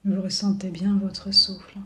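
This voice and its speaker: Female meditation guide, mid-range voice, very slow and soothing, leaves long pauses. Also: mid-range voice, little pitch movement, bright, forceful tone. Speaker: yoga and relaxation guide. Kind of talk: guided body scan meditation.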